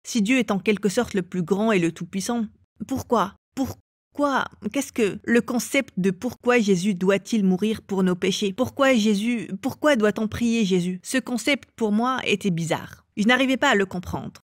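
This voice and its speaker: A woman's voice: mid-range voice, moderate pace, no echo, studio quality. A woman from England telling her own life story as an interview guest. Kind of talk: personal testimony in an interview.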